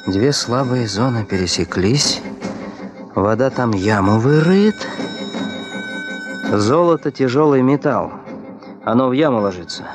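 A man's voice: mid-range voice, lively intonation, unhurried, long pauses, natural voice. An actor playing an intense young male geologist who thinks aloud in short bursts, in 1970s radio drama style. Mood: musing. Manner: terse, musing in short bursts. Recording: 1970s radio play with orchestral music. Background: orchestral music.

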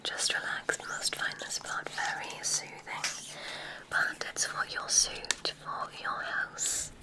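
A woman playing a kindly witch examiner at a magic school in a whispered ASMR roleplay, gentle and encouraging. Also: slow, natural voice. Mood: soothing, pleased.

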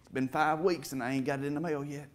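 A middle-aged man preaching from a church stage in a somewhat high voice. He gives a heartfelt testimony, rising into emphatic swells and turning emotional. He is picked up by a camera set back from the stage. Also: fairly even intonation, fast talker. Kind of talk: sermon testimony. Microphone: far camera.

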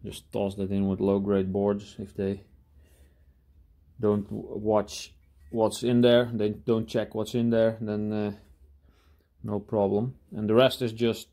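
A man talking casually through a hands-on demonstration in a workshop, halting and thinking aloud as he goes. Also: on the low side, little pitch movement, mellow. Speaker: hobby scrapper presenting his own video. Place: indoor cluttered workshop.

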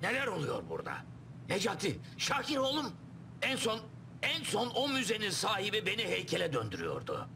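A man voicing an excitable, blustering father lion with a dramatic, emphatic manner in a cartoon. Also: sits high, brisk, gravelly, full character voice. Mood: bewildered, alarmed. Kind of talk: cartoon dubbing. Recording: loud background music.

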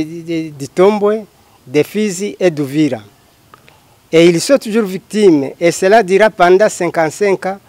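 A middle-aged man from the Congo with a Congolese French accent, giving formal, deliberately phrased explanations as an interview guest. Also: on the high side, a little mellow, dry recording. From Democratic Republic of the Congo. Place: outdoors by a house wall. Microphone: handheld microphone held by interviewer.

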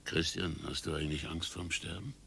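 A man's voice: a bass voice, steady tone, brisk, creaky, a bit bright, a little hiss or hum, full character voice. An actor playing a prickly older retired man in a radio play, blunt and sardonic. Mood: needling. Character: prickly old male retiree on a park bench.

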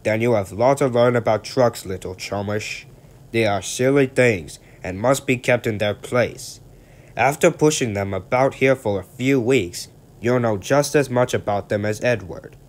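A man's voice: medium pitch, measured pace, close to an everyday voice. A man voicing a stern, portly older railway director in a storybook, firm and lecturing.

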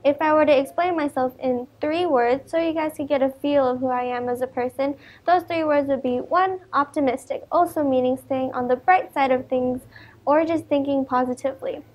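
Chatty, high-pitched teenage girl. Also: fairly even intonation, normal speed, darkish tone. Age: teenager, about 14. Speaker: student election candidate. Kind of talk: prepared campaign speech to camera.

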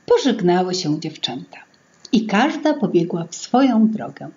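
A middle-aged woman reading her own fairy tale to children in an expressive, theatrical singsong way. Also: lowish voice, moderate pace. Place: small indoor room at home. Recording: dull lo-fi sound, music behind. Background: music.

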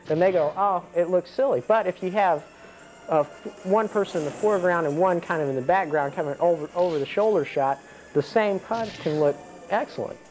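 A man's voice: sits high, a little fast, a little mellow, low-quality recording. A man explaining things casually as an amateur filmmaker.

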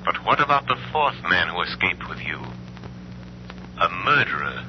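An actor playing a mysterious, menacing crime fighter, speaking deliberately and sardonically in 1930s radio drama style. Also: male voice, low-pitched, big pitch swings, a little hiss or hum. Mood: cold interrogation. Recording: muffled, humming old radio recording.

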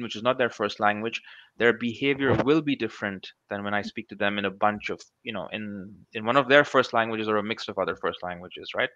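Man in his thirties, interview guest over headset; forceful bright voice, halting and thoughtful, dry lo-fi call sound. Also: fairly low pitch, no room sound. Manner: thoughtful, many restarts and fillers. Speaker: guest researcher. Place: small room at home.

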